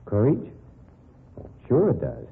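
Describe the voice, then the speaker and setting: An actor playing a brash, wisecracking but big-hearted private detective in an old-time radio drama, with blunt, slangy delivery. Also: male voice, medium pitch, wildly swooping pitch, slow, gravelly, husky, exaggerated voice. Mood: blunt, challenging. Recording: lo-fi, hissy old radio recording.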